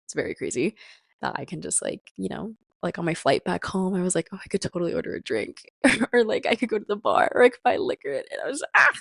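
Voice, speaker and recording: A young woman in her early twenties talks casually and reflectively as a solo podcast host. Her voice sits slightly low and often drops into creak. She rambles conversationally, leaning on filler words, and the sound is close and dry. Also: big pitch swings, fast talker, no room sound. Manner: chatty, rambling, sprinkled with "like" and "you know".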